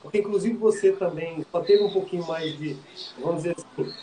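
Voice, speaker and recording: A man's voice: fairly high pitch, brisk, dark tone. A man from Minas Gerais hosting a live interview, asking questions in a conversational way. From Minas Gerais, Brazil. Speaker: host. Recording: echoey room with faint noise.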